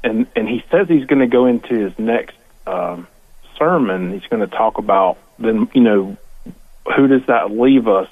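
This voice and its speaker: Male caller on a phone line, mid-pitched, halting and rambling, very tinny and dry sound. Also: lively intonation, no room sound. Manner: hesitant, rambling, many fillers. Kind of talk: call-in question on the phone. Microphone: telephone.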